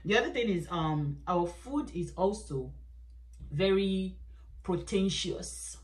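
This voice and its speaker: A young woman with a Nigerian accent, a native Yoruba speaker, chatting casually as a beauty vlogger and laughing often. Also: takes it slowly. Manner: chatty, laughs often, lively swings in pitch. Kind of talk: casual chat to camera.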